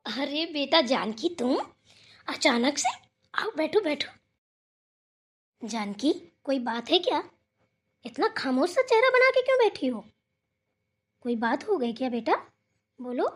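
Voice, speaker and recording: A woman's voice: high voice, very animated, measured pace, pauses often. A woman voicing a grumbling but caring elderly village mother-in-law in a colloquial, fussing Hindi cartoon family drama. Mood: concerned, motherly.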